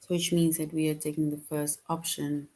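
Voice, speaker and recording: A woman teaching a children's grammar lesson online, reading out answer choices slowly and patiently. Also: sits low, little pitch movement, warm tone. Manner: slow, patient, reads answer options aloud.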